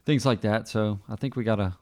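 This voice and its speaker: A man's voice: on the low side, fairly quick, a little mellow. A man hosting a podcast, easygoing and steering the conversation. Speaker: podcast host. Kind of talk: casual chat.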